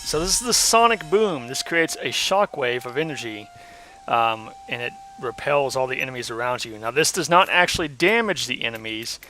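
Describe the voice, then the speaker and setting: A young man with a hoarse voice chats his way through a video game, rambling loosely and filling pauses with ums and uhs. His pitch sits in an ordinary middle range, and faint music plays underneath. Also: lively intonation, pushed and bright, rough recording. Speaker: let's play host. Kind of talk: casual gameplay commentary.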